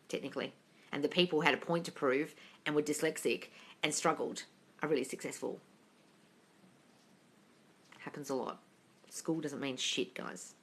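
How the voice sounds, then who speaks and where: A woman's voice: low voice, bright, forceful tone. A woman from England hosting a casual livestream, reading viewers' comments aloud and answering them bluntly, calling them darling and swearing freely. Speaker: manifesting coach answering live chat questions. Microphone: phone livestream.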